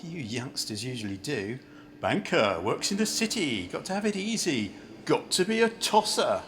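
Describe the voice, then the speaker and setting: A man's voice: medium pitch, sing-song, moderate pace, fairly bright tone, slight background noise. A man playing a brusque, chatty city banker in an amateur radio play, grumbling and asking blunt questions. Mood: defensive, cynical.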